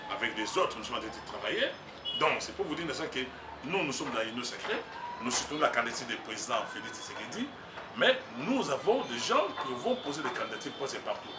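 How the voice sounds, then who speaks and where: A man with a Congolese French accent, a political candidate speaking emphatically and rambling, slipping into Lingala. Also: medium pitch. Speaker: political candidate, party communications secretary. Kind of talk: street interview. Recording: roomy, distant mic, noisy background.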